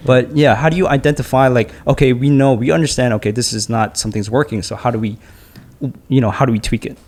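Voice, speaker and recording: Male co-host, average pitch, casual and halting as he builds his questions. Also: fairly even intonation, a little mellow. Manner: halting, restarts often while framing questions. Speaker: podcast co-host. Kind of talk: casual podcast conversation.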